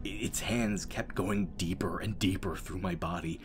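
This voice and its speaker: A man playing a battle-scarred, haunted soldier in an audio drama, telling his story in a halting, intense, often breathy monologue. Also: low voice, steady tone, lightly acted. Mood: desperate, tense. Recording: loud music under the voice. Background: loud music.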